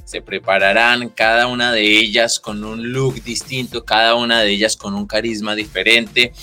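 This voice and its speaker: A man hosting a podcast interview, upbeat and animated in his questions. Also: fairly low pitch, moderate pace, faint music behind. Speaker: podcast host.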